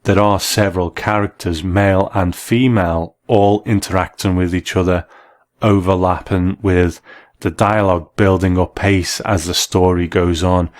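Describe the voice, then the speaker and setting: A man hosting a show, delivering measured, scripted commentary in the manner of an appreciative reviewer. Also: deep voice, steady tone. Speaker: host. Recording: faint music under the voice. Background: soft background music.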